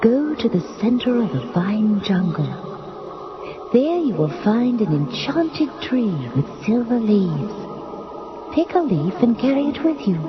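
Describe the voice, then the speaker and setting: Slow, mystical sorceress. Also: female voice, fairly high pitch, sing-song, lo-fi sound. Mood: solemn guiding. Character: solemn, mystical guiding sorceress. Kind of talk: 1980s cartoon readalong acting. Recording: band-limited old readalong tape.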